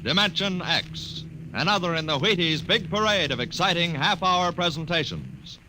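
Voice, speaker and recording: A man's voice: big pitch swings, moderate pace, bright, forceful tone, poor audio. A man announcing a show in a dramatic, proclaiming old-time radio style. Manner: proclaiming, booming. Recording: vintage radio, faint noise.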